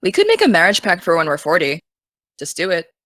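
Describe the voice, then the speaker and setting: A young American woman playing an excitable, teasing teenage aspiring journalist in naturalistic, casual audio drama dialogue. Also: on the low side, a little fast, dry recording, heavily acted. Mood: chatty, coaxing.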